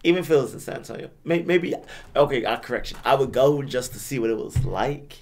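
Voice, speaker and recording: A young man hosting a casual podcast, hoarse from losing his voice, with laid-back, self-deprecating banter. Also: medium pitch, animated, fast, a little mellow. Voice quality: raspy. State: hoarse, lost voice. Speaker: podcast host.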